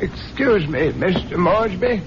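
An actor playing a formal, deferential household butler in a 1970s radio drama. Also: male voice, high-pitched, lively intonation, measured pace, over loud music. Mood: deferential, worried. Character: deferential, proper household butler, male servant. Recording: dull, faintly noisy 1970s radio recording.

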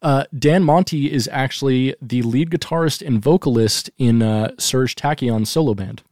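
A man hosts a casual music podcast, rambling and joking as he steers the talk. His voice sits at a middle pitch and sounds dry and close. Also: moderate pace, a little mellow, dry recording. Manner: joking, rambling, leads the topics. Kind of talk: casual chat.

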